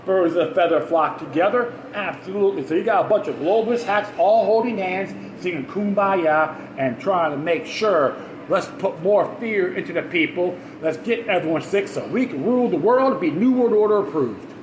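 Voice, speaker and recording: A man hosting a podcast, reading news articles aloud with sarcastic asides and stumbling over words. He has a high-pitched voice. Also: lively intonation, moderate pace. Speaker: podcast host. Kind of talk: ranting commentary while reading news articles. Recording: echoey room with background noise.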